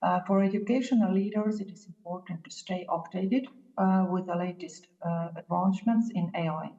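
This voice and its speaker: Woman, low-ish voice, steady measured delivery, muffled reverberant recording. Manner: measured, with frequent "uh" fillers. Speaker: university staff member. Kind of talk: read statement.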